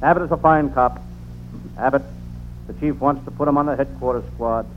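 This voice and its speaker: An actor playing a deadpan, world-weary private detective narrating an old-time radio comedy. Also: male voice, mid-range voice, fairly quick, close to an everyday voice. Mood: dry, grumbling. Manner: deadpan, punning first-person delivery. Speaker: narrator and lead character. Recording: noisy, narrow-band vintage radio recording.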